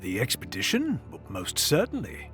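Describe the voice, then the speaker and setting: A man playing a composed older gentleman explorer in an audio drama, polite and dry. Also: on the low side, huge pitch swings, normal speed, soft background music. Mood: assured.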